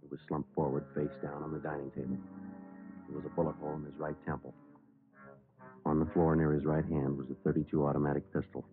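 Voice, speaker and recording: An actor playing a terse, businesslike police sergeant in a 1950s radio drama, clipped, deadpan and matter-of-fact. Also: male voice, rumbling low, fast. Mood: flat, factual. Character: terse, businesslike male police detective sergeant who narrates. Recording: muffled old radio recording with music.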